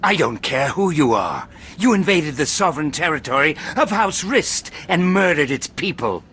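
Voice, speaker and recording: An actor playing a hostile hostage-taker in a video game, menacing and scornful. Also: male voice, on the high side, very animated, normal speed, sharp tone, a little hiss or hum. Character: hostile, threatening hostage-taking villain. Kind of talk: dramatic video game acting.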